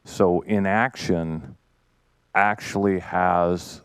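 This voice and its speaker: A man with a very low, smooth voice makes his points slowly and deliberately on a conference panel. The sound is dry and close. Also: fairly even intonation, no echo.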